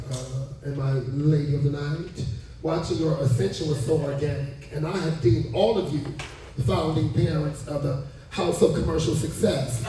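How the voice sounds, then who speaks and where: A man with a high voice hosts a panel in an effusive, slangy way. He sounds distant from the mic in a very echoey room, with clear noise behind him. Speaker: panel host. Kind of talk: gushing, informal event hosting. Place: town hall event room.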